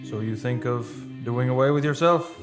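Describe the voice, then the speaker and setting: A man's voice: medium pitch, natural voice. A man playing a grave, loyal friend and counsellor who also narrates a radio play in solemn, literary style. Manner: solemn, measured literary storytelling. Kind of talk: old-time radio play acting and narration. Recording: music under the voice.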